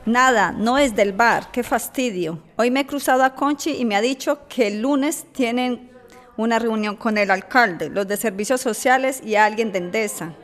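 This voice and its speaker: A woman's voice: a little high, normal speed, lightly acted. A woman from playing a helpful, practical neighbour in a scripted radio drama.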